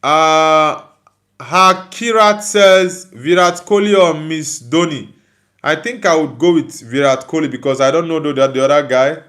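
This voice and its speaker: A man's voice: on the high side, measured pace, a bit bright. A man with a Nigerian accent hosting a casual football livestream, chatting and responding to viewers. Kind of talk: casual live chat, reading and answering viewer comments.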